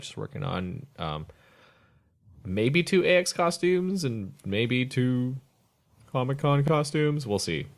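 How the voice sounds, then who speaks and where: A podcast host chatting casually in a rambling, jokey way, often self-correcting. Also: medium pitch. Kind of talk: casual chat.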